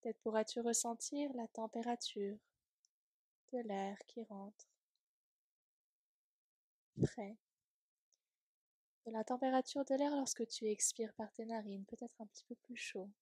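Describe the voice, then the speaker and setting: A woman leading a guided yoga nidra relaxation in a soft, breathy, soothing voice, gently trailing off at times toward a whisper. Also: on the high side, fairly even intonation, measured pace, long pauses, no room sound.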